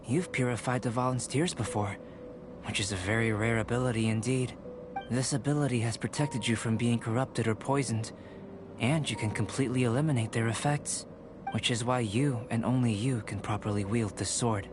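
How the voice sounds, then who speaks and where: A man voicing a calm, scholarly young male alchemist in a video game, giving measured, polite explanations over game music. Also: medium pitch, close to an everyday voice. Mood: composed.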